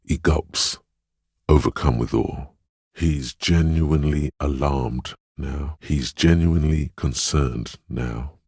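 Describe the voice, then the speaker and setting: Game narrator: solemn, compassionate inner voice, rock-bottom bass register, heavy husk, measured delivery. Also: male voice, a little mellow, dry recording, clean studio sound, exaggerated voice. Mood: tender, melancholic. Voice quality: very deep, husky, gravelly. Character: inner voice of empathy, a grave, compassionate male narrator. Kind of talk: scripted video game narration.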